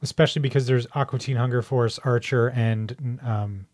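A man's voice: mid-range voice, steady tone, moderate pace, darkish tone. A man hosting a podcast in an informal, joking way, chatting and asking questions. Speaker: podcast host. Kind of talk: casual chat, asking questions.

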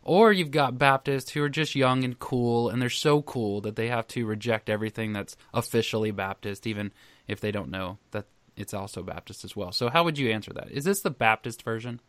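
A man's voice: medium pitch, brisk. A male podcast host asks his questions casually and with a wry, light humor. His mid-range voice is recorded close and dry, with no echo. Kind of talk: conversational interview questions.

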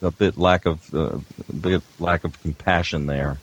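Deep-voiced man telling wry anecdotes. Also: fairly even intonation, normal speed, muffled recording, a little hiss or hum. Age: middle-aged or older. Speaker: guest audio producer and engineer.

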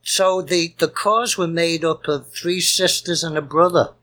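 A man's voice: on the high side, fairly even intonation, a little slow, a bit bright. A man co-hosting a music show with casual, jovial and rambling banter. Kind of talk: casual chatty radio-style banter.

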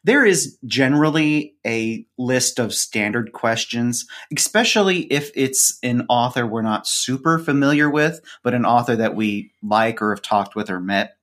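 A man's voice: mid-range voice, expressive, measured pace, hardly any pauses, fairly bright tone. A man with a mid-range voice talks as a guest podcaster in a casual interview. He is informal and wry, joking as he explains. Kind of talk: casual conversational interview chat.

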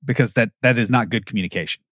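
A man's voice: medium pitch, very fast, fairly bright tone, no room sound, studio quality. A man co-hosting a podcast, giving blunt, wry opinions and anecdotes. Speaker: podcast co-host. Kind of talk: casual opinionated chat.

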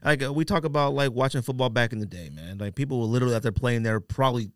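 A man's voice: medium pitch, rapid-fire, dry recording, clean studio sound. An American man chatting casually about sports as a podcast co-host, emphatic and prone to repeating himself.

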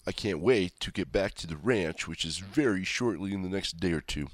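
A man's voice: deep voice, moderate pace, no echo. A middle-aged man hosting a talk radio show, stuffed up from a cold, talking in a folksy, rambling way with chatty asides. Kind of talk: conservative talk radio monologue.

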